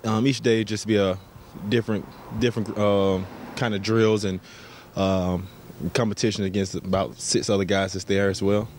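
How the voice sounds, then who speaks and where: A man's voice: on the low side, level tone, normal speed, darkish tone. An American man, an athlete, answering interview questions casually with thoughtful, hesitant phrasing. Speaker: professional basketball player. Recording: clear background ambience noise.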